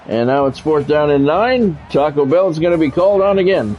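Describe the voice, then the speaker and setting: Higher-voiced man calling football play-by-play, music behind. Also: lively intonation, a little fast, dull audio. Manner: blunt, conversational, salty-tongued. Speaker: gaming streamer doing play-by-play of a football video game. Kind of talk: live sports play-by-play commentary mixed with casual chat.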